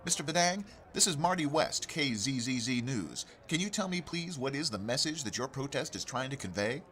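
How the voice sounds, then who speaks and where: A man playing an earnest local radio news anchor in a parody newscast, formal, measured and politely persistent. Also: medium pitch, big pitch swings, fairly bright tone. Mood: formal, composed.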